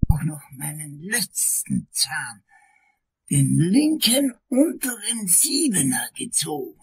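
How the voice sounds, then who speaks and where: An actor playing a fussy, shaken older lawyer in a crime radio play, with a breathy, raspy, halting, long-winded delivery. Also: male voice, sits high, a little mellow, heavily acted, low-quality recording. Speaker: client, prosecutor character.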